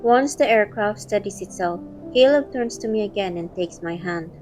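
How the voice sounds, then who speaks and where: A woman's voice: mid-range voice, animated, normal speed, darkish tone, soft background music, poor audio. A woman reading scripted first-person narration for a romantic audio drama, also voicing its heroine.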